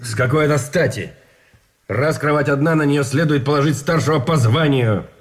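An actor playing a rank-proud colonel in a radio drama, pompous and easily affronted. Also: male voice, on the high side, normal speed, close to an everyday voice. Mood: indignant. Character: indignant, rank-proud imprisoned army colonel. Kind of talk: Russian radio drama acting.

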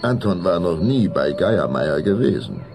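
Deep, gravelly male storyteller. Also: big pitch swings. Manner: measured, suspenseful storytelling. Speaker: narrator. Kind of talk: scripted audio drama narration for children.

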